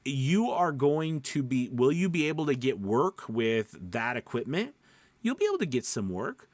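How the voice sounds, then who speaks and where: A man's voice: mid-range voice, big pitch swings, moderate pace, a little mellow, dry recording. A man coaching in an informal, conversational way, emphatic and rambling, often checking in with short questions. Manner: rambling, self-interrupting. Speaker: voice-over coach and mentor.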